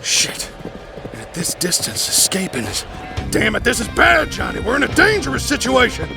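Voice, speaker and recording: Cocky male anime racer performed by a man, creaky voice, wildly varied pitch, theatrical, emphatic, brash mentor type. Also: huge pitch swings, moderate pace, over music, full character voice. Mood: grim, suspicious. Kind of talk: dramatic anime-style fandub acting.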